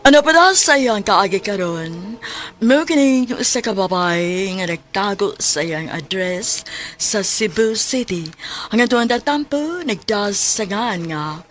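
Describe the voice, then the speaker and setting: A woman's voice: mid-range voice, lively intonation, unhurried, a little hiss or hum. A woman with a Cebuano accent reading a scripted introduction as a radio drama presenter. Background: music.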